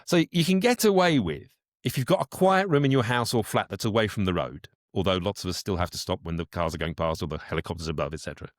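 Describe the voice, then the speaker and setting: Older british guy, lowish voice, chatty interview guest explaining stuff, dry sound. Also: fast, dry recording. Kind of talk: casual interview talk, explaining practical advice.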